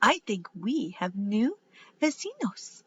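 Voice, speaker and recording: A woman's voice: mid-range voice, sing-song, slow pace, lo-fi sound, slight background noise. A woman voicing an eager, earnest young twin boy in a storybook, exclaiming and dropping in Spanish words.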